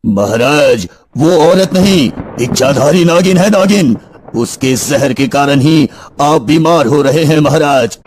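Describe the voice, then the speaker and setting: A man voicing a grave, wise royal sage and healer in a solemn, deliberate manner for an animated story. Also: on the high side, background noise. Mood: grave warning. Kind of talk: Hindi animated bedtime story acting.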